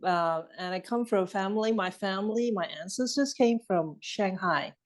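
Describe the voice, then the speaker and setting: A woman's voice: medium pitch, normal speed. A woman from Hong Kong, a guest in a casual chat, speaking hesitantly with many fillers.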